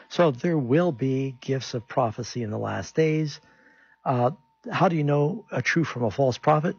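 A man's voice: mid-range voice, darkish tone, husky, no echo, highs cut off. A man who hosts a radio call-in show as a pastor, answering Bible questions in a conversational, explanatory way.

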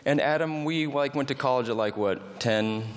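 An enthusiastic man hosting an onstage interview in front of a live audience, talking folksy and loose with plenty of "you know" and "like". His mid-pitched voice rings out with a long hall reverb. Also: moderate pace, slight background noise. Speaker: host. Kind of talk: onstage interview at a church camp meeting.

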